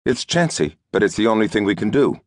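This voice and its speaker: A man voicing a young lord who commands a fire brigade in an audiobook, formal, brisk and decisive. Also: fairly low pitch, big pitch swings, dry recording, clean studio sound, pitched above the performer's own voice. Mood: urgent, commanding. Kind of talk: audiobook character dialogue.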